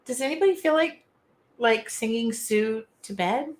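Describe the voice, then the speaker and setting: A woman with a nasal Midwestern accent hosting an art journaling live stream from her art studio, talking in a loud, chatty, self-deprecating way. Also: fairly high pitch, expressive, normal speed. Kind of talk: casual live-stream chat.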